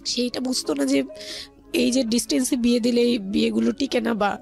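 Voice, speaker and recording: A woman's voice: fairly high pitch, fairly even intonation, a little fast, vocal fry, darkish tone, faint music behind. A woman with a Bangladeshi accent telling her personal story conversationally as a guest, often voicing what relatives said. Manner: quotes relatives' words as she narrates.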